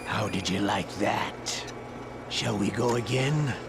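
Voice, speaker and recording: An actor playing a sadistic, theatrical Russian veteran interrogator in a video game, gravelly and menacing, savouring his pauses. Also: on the low side, heavy background noise. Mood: taunting. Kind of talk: video game cutscene acting. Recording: music under the voice.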